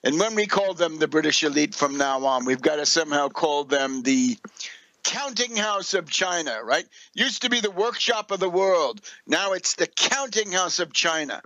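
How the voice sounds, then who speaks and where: A man's voice: fairly high pitch, lively intonation, normal speed, sharp tone, no room sound, low-quality recording. An older man hosting a political radio commentary, speaking in an emphatic, scornful, declamatory way. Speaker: radio show host.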